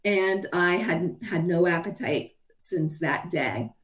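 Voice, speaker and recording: A middle-aged woman with a hoarse voice giving a matter-of-fact slide talk about her own illness over a video call, with frequent ums. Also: on the low side, lively intonation, takes it slowly. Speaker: physician sharing her own illness story. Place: home room, video call. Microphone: webcam on a video call.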